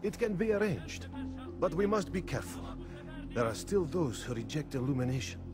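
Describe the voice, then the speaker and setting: A man's voice: a little high, big pitch swings, normal speed, over music. An actor playing a guarded, intense male zealot in a video game, speaking formally like a secretive recruiter. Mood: guarded, testing. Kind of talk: video game cutscene dialogue.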